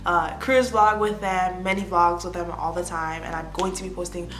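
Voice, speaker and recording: A young American woman in her twenties chatting casually to the camera as an influencer, answering fan questions with animated bursts of excitement. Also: fairly low pitch, normal speed, roomy sound. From United States. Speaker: lifestyle influencer. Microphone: phone or tablet camera.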